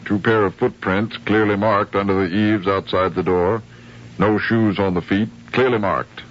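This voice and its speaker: An actor playing a shrewd, insistent sheriff who questions deliberately and politely, in a 1950s radio drama. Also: male voice, fairly low pitch, steady tone, lightly acted. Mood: calm, sly. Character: probing, shrewd male sheriff hunting runaway slaves. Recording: muffled, noisy 1950s radio recording.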